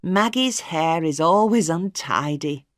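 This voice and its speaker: A fretful storybook mother, fussing and scolding in a raised, lively voice, as a woman narrator puts her on. She speaks with slow, careful words, close to the mic in a dry space. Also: deep voice, dry recording.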